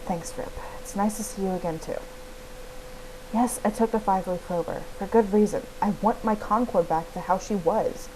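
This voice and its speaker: A young heroine from a fantasy riding game acted by a woman, earnest and defensive. She speaks plainly and with feeling, and there is clear noise on the recording. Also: medium pitch, moderate pace, natural voice. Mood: earnest, explaining.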